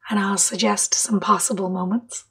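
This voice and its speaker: A woman leading a guided meditation in a soft, breathy voice, gently, with long pauses between short phrases. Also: mid-range voice, fairly even intonation. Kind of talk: guided meditation, slow and measured.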